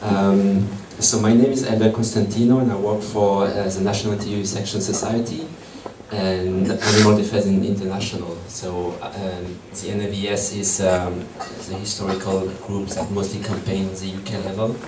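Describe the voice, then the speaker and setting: A man's voice: on the low side, flat delivery, moderate pace, background noise. A man in his thirties with a French accent, giving a hesitant public talk to an audience in a meeting room. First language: French and Portuguese. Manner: hesitant, many ums and restarts. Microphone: far room camera. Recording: echoey room from far off.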